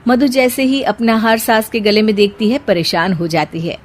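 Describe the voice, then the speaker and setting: A woman's voice: medium pitch, fairly even intonation, normal speed, a little hiss or hum. A woman narrating a scripted family story for a cartoon.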